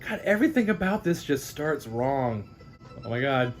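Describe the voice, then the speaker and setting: A man's voice: medium pitch, very animated, moderate pace, soft background music. An American man hosting a vlog with casual, chatty commentary in an informal, jokey, incredulous manner. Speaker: vlog host.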